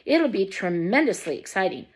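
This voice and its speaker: A woman voicing a gentle, soft-spoken father in a storybook reading. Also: mid-range voice, sing-song, moderate pace, a little hiss or hum, lightly acted.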